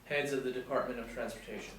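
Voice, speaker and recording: A man's voice: medium pitch, fairly even intonation, quick pace, fairly bright tone, natural voice. A man reading the part of a deferential military sergeant in a scripted table reading. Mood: dutiful. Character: deferential male sergeant, lieutenant's right-hand man. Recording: very echoey room, distant mic, light noise.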